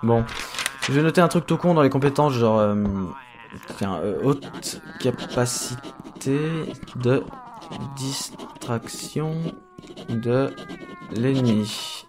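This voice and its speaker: A man's voice: mid-range voice, slow, pauses often, noisy background, lightly acted. A man playing a weary, sarcastic henchman, hesitant and exasperated, in a comedic audio drama. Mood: resigned. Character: weary, sarcastic male henchman surveying his colleagues.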